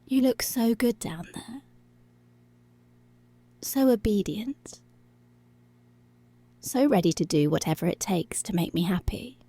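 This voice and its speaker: A woman acts out a dominant policewoman in ASMR roleplay, very slow and controlling. Her voice is dark and husky, often sinking into a breathy whisper. Every command is deliberate and seductive, recorded close and intimate. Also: mid-range voice, lively intonation, no room sound. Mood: possessive. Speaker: ASMR voice actor.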